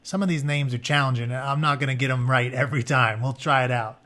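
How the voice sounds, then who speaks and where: A man's voice: mid-range voice, steady tone, fast, pushed and bright. A man teaching through a read-aloud, mixing narration with informal, conversational explanations as he goes. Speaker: teacher reading a book aloud.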